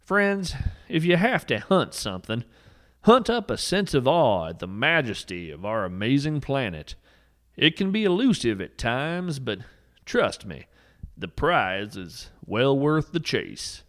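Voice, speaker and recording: A man's voice: mid-range voice, very animated, unhurried. A man playing a folksy, whimsical naturalist adventurer, telling scripted tall tales in a wry, theatrical way for a podcast. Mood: earnest wonder. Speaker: podcast narrator. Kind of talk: scripted first-person storytelling monologue.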